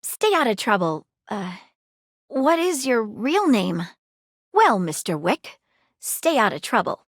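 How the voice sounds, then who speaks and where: High-pitched, haughty, theatrical detective. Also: female voice, big pitch swings, slow pace, no room sound, studio quality, exaggerated voice. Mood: triumphant, stern. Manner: precise, formal, theatrical, pompous. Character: haughty, sharp-witted detective confronting frauds. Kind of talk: video game character dialogue.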